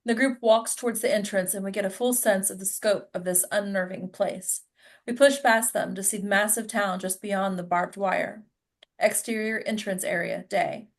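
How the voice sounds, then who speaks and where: A woman's voice: medium pitch, fairly even intonation, moderate pace. A woman narrating stage directions at a table read in a plain, informal way.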